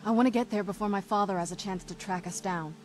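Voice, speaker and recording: Wry, determined vampire woman acted by a woman, mid-pitched, fantasy game dialogue over clear music. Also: fast, lightly acted.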